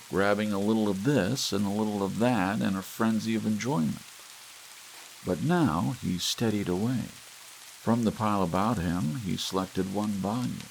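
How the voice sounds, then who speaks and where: A man reading a short story aloud in a slow, soft, gentle ASMR style, with long pauses between phrases. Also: fairly low pitch, vocal fry, rough recording. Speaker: audiobook narrator. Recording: faint hiss and soft rain behind.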